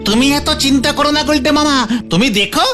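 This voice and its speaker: A woman's voice: high-pitched, fast talker, fairly bright tone, soft background music. A woman voicing an anxious young boy sidekick in a comic cartoon, speaking in an earnest, questioning way. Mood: earnest, worried.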